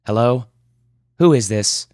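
A man's voice: medium pitch, animated, unhurried, darkish tone, no room sound, clean studio sound, natural voice. A man voicing a harried, bitter young mother who talks in raised, clipped bursts in an audio story. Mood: angry.

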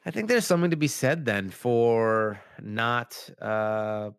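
A man's voice: medium pitch, takes it slowly, gravelly, smooth, dry recording, studio quality. A young man hosting a casual chat, rambling with lots of fillers, his voice a little worn after being sick. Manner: rambling, many "like" fillers, acts out quoted lines. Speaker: podcast host.

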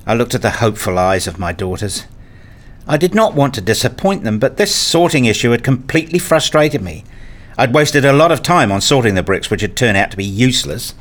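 A man reading a scripted first-person story as narrator, in a measured, introspective manner. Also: medium pitch, animated, a bit bright.

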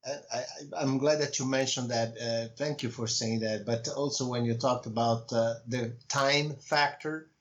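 A man with an Italian accent, speaking as an author being interviewed on a podcast, talking in a reflective, measured way with frequent pauses. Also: mid-range voice, fairly even intonation, lo-fi sound. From Italy. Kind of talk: conversational interview.

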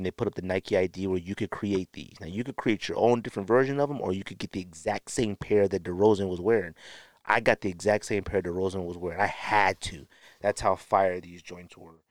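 Low-voiced man, clear podcast talk. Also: dry recording. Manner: rambling, self-correcting, unscripted. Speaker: sneaker podcast host. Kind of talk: casual show-and-tell talk to camera.

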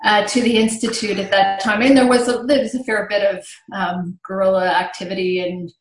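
A woman from Canada, raised bilingual in French and English, speaks as a podcast guest. She explains things in a conversational, storytelling way at a middle pitch. The remote recording is roomy and echoing. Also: little pitch movement, a little fast. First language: bilingual French and English from childhood. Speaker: podcast guest, tech marketing executive. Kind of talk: conversational interview answers, explaining.

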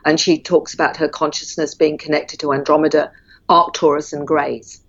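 A woman with a low-pitched voice explains her ideas in a measured way, often pausing on ums. Her voice comes through a dull, lo-fi line. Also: little pitch movement. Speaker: guest. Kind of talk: explanatory interview talk.

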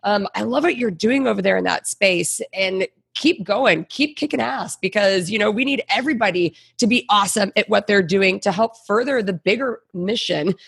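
A female interview host with a gravelly, slightly raspy voice. She speaks in an upbeat, encouraging way, drawing her guest out. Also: mid-range voice, moderate pace, hardly any pauses, a bit bright. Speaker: podcast host. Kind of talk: conversational interview.

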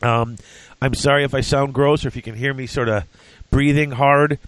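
A man's voice: medium pitch, steady tone, normal speed, dry recording, poor audio. A man hosting a casual podcast, congested and breathing hard, chatty and self-deprecating with many fillers. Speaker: podcast host.

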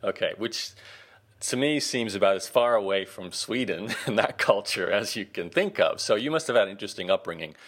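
Guy hosting a podcast, lowish voice, rambly and friendly, restarts a lot. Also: lively intonation, fairly quick, fairly bright tone. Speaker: podcast host. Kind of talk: casual interview chat.

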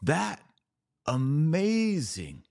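A man's voice: a little high, wildly swooping pitch, drawn-out words, creaky, a little mellow, no echo, clean studio sound. A man hosting a religious podcast, talking informally and emphatically, often with rhetorical questions and repeated phrases.

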